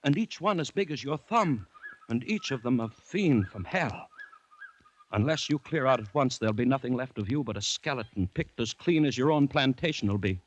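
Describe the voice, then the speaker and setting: A man's voice: mid-range voice, animated, lo-fi sound, close to an everyday voice. An actor playing an earnest colonial district commissioner in a 1940s radio drama, formal and dramatic in his delivery. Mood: urgent warning. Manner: urgent, measured, formal, dramatic. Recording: restored 1940s radio recording, music behind.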